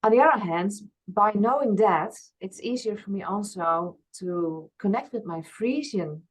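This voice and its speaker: A woman's voice: mid-range voice, expressive, measured pace, rough recording. A woman with a Dutch accent, speaking in an ordinary middle register. She talks earnestly and reflectively, with a self-deprecating touch, as an interview guest. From Friesland, Netherlands. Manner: earnest, open, laughs at herself. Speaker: guest, past life regression therapist.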